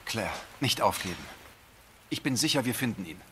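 Man acting earnest young cop, swinging pitch, noisy backdrop. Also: big pitch swings, moderate pace, a bit bright. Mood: earnest, reassuring. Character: earnest young male police officer in zombie outbreak. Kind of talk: German audio drama acting of a video game. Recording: noisy, with background ambience.

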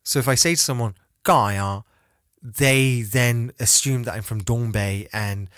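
Man, middle pitch, easygoing storyteller, lots of fillers, dry close mic. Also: normal speed, no echo. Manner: relaxed storytelling, fillers like "like" and "you know". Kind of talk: casual chat.

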